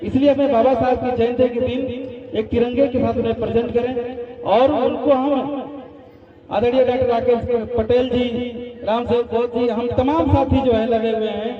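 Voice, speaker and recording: A man's voice: very high voice, fairly even intonation, normal speed. A man giving an earnest public speech at a commemoration, appealing to the audience and making pointed remarks. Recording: muffled echoey hall from far off, crowd behind.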